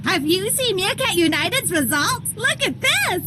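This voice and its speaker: A woman voicing an excitable, bubbly character on a football team in an animated series, over very loud music. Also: very high-pitched, moderate pace, a little hiss or hum, full character voice. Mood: excited. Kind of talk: animated series dub acting.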